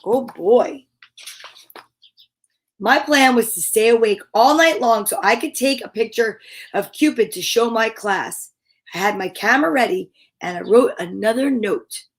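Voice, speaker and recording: A woman's voice: on the high side, lively intonation, unhurried. A woman reading a children's story aloud in a dramatic, playful manner. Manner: dramatic, with gasps and playful asides.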